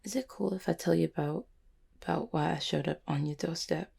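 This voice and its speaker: A woman plays an anxious, guilt-ridden cupid with a low-set, gravelly voice. The delivery is slangy and halting, full of swearing and raw feeling, in an intimate audio drama style. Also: a little mellow, full character voice. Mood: uneasy, subdued. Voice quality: husky, gravelly. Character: anxious, guilt-ridden cupid who helps clients, streetwise. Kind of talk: intimate audio roleplay acting.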